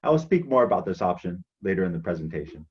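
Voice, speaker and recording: A man with a lowish voice walks through a prepared presentation at an even, measured pace. The recording sounds somewhat dull and lo-fi. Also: slightly rough. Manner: measured, listing programs. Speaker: international outreach presenter. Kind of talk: scripted presentation.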